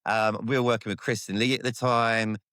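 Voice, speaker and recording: A man's voice: fairly low pitch, level tone, a little fast, a bit bright, no echo, clean studio sound. A man, hosting a podcast in a friendly, jokey, self-deprecating way. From England. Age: 40s. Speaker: podcast host. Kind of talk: casual chat.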